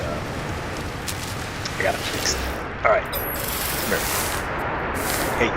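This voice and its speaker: A man giving casual, rambling commentary while playing a video game, chatting away to the creatures in it. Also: medium pitch. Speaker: gaming video host. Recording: loud background noise under voice.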